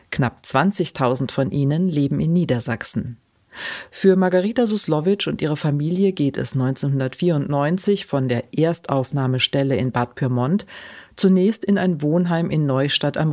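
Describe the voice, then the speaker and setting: A woman hosting a short documentary format, reading scripted narration in an even, measured way. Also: low voice. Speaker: host, narrator.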